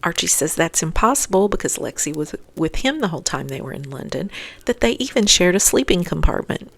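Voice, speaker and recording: Female recap host, lowish pitch with heavy fry, scripted but chatty. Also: very animated, brisk. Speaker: host of a TV episode recap podcast.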